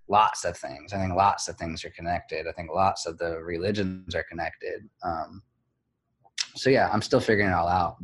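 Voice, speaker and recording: Man, very low voice, halting, reflective, lots of fillers, candid interview guest. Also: slightly rough. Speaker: guest, blogger.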